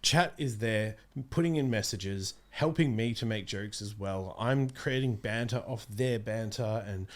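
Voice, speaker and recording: Young adult male, lowish pitch, relaxed conversational interview guest. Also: measured pace, few pauses. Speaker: guest, amateur voice actor and streamer. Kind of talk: casual interview chat. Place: small home streaming room, indoors.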